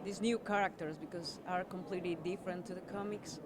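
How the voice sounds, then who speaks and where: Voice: mid-range voice, steady tone, fast talker. A non-native English speaker on a film cast, answering casually and searching for words. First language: not English. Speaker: film cast member being interviewed. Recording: crowd chatter in press area.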